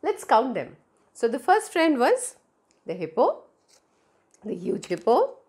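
A woman's voice: on the high side, huge pitch swings, takes it slowly, darkish tone. A middle-aged woman with an Indian accent telling stories to young children, speaking expressively and directly to them on a lapel mic. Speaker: children's storyteller. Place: small indoor room. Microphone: clip-on lapel mic.

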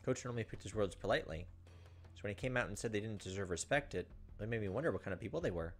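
A man's voice: on the low side, expressive, rapid-fire. A man, reading visual novel text aloud as a gaming host, with casual asides. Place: indoors, recording at a computer. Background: faint game music.

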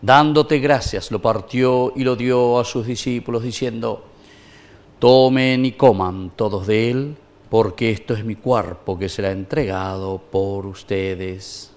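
A middle-aged man from Paraguay, a priest reciting the prayers of Mass in a measured, solemn way in a small wood-paneled chapel. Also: lowish voice, fairly even intonation, darkish tone.

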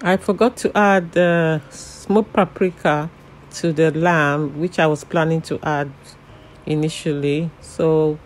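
A man's voice: on the high side, slow, slight background noise. Chatty man narrating home cooking. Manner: relaxed, chatty, frequent "you know" and "um" fillers. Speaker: cooking channel host. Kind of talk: casual step-by-step cooking narration.

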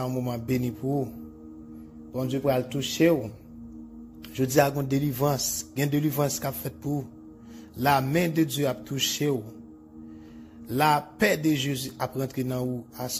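A man's voice: mid-range voice, darkish tone. A Haitian man, a native Creole speaker, praying as a preacher in declarative, repetitive, incantatory phrases. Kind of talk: bedtime deliverance prayer, declarative. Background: music.

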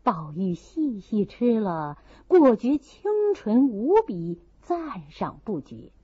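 A woman reading a classic novel aloud as an audiobook, with expressive dramatized narration. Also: medium pitch, slow pace, breathy edge, no echo. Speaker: audiobook reader. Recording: slightly dull, muffled recording.

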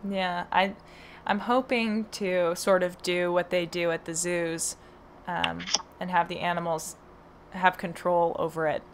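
Soft-spoken woman with faint hiss. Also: on the low side, flat delivery, measured pace. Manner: hesitant, many fillers. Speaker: podcast host and horse owner. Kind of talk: casual conversation.

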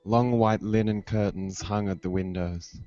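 A man's voice: fairly low pitch, fairly even intonation, a little slow, warm tone. A young man giving a literary reading of experimental fiction into a handheld microphone. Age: young adult. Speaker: writer or performer reading at a festival. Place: indoor room, live online video stream. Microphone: handheld microphone held close. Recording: dull lo-fi webcast, prominent music behind. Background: music soundscape.

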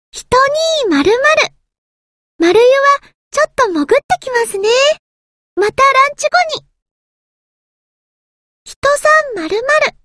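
A woman's voice: very high voice, a bit bright, dry recording, studio quality, full character voice. A woman voicing a small, timid, earnest anime game submarine girl, with a thin childlike voice and a hesitant, polite manner. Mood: determined, polite. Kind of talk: anime game character voice lines.

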